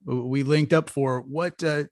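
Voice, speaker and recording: A man's voice: mid-range voice, fairly even intonation, measured pace, no room sound, studio quality. A man hosting a podcast who talks casually and rambles, with many fillers and restarts. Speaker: podcast host. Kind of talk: casual interview.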